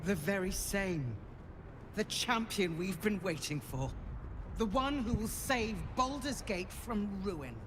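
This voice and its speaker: An actress playing an earnest ally proclaiming a champion in a fantasy video game. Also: female voice, medium pitch, expressive, slow pace, noisy background. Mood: earnest, proud. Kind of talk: video game cutscene acting.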